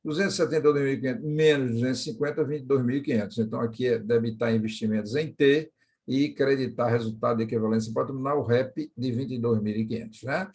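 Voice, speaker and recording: A man's voice: medium pitch, steady tone, fast talker, a little mellow. Brazilian man giving an explanatory lecture. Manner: didactic, checks understanding with frequent question tags. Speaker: accounting lecturer. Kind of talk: explanatory lecture, working through examples.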